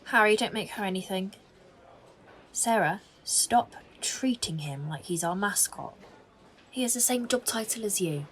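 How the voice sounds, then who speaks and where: An earnest, authoritative female detective played by a woman in a naturalistic audio drama. She speaks slowly at a middling pitch, with hesitant pauses. There is faint noise behind her. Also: lively intonation, lightly acted. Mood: businesslike. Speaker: actor in an audio play.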